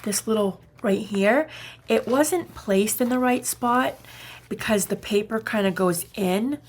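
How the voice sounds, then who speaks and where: A woman with a slightly raspy morning voice, chatting casually and haltingly as she narrates a craft show-and-tell. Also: fairly high pitch. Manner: unhurried, chatty, halting as she shows items. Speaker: craft vlogger.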